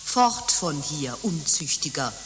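An actress playing a worldly, stern abbess in a German radio play, speaking formally and theatrically. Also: female voice, low voice, very animated, takes it slowly, a bit bright, a little hiss or hum, full character voice. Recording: long reverb, music behind.

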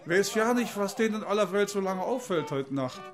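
A man playing a gruff, jocular innkeeper in a radio drama, talking colloquially and teasingly. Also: sits high, expressive, normal speed, a loud crowd. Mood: teasing, amused.

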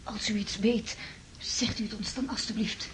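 A woman's voice: medium pitch, fairly even intonation, moderate pace, slight background noise, close to an everyday voice, rough recording. An actress playing a shocked, pleading married woman in distress in a radio drama.

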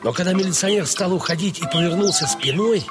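An actor playing a playful, warm-hearted dog owner who tells his own story in a theatrical, expressive audio dramatization. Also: high voice, fast talker, loud music behind the voice, natural voice. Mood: amused, excited. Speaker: narrator and dog's owner in a story. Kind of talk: dramatized first-person story narration.

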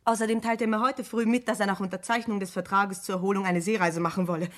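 A woman plays a devoted private secretary in a radio mystery. Her voice sits at an average pitch, and she speaks politely and precisely, with an earnest, slightly nervous manner. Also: steady tone, quick pace, a bit bright, lightly acted. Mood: insistent. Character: loyal, nervous, well-informed female private secretary. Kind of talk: German radio crime play acting.

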